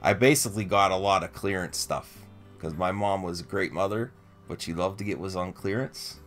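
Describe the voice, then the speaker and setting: A man's voice: low voice, normal speed, fairly bright tone, soft background music. A man co-hosting a toy show in casual, informal conversation. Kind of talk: casual toy review chat.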